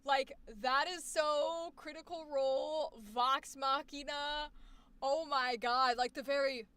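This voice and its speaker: A woman coaching voice actors on a live stream, reacting with effusive exclamations and quick asides. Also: high-pitched, steady tone, pushed and bright, no room sound. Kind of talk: live stream commentary on student recordings.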